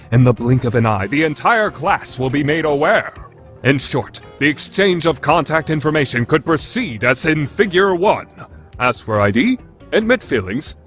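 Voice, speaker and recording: A man's voice: medium pitch, fairly bright tone, close to an everyday voice. A man playing a grandly solemn narrator, declaiming scripted narration for an anime radio play. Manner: dramatic, declamatory. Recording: background music under the voice.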